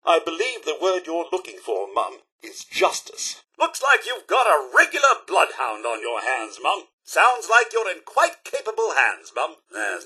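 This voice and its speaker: Loyal robot butler, video game. British, formal and deferential, high-pitched, swooping theatrical delivery. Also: male voice, bright, forceful tone, husky, exaggerated voice. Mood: approving, earnest. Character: fussy, polite, loyal male robot butler. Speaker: voice actor. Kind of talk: video game companion dialogue.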